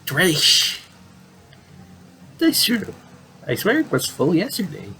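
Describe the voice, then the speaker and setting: Excitable, playful youngster for a visual novel, voiced by a man, raised pitch, bubbly delivery, slight noise behind. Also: takes it slowly, full character voice. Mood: bright, insistent.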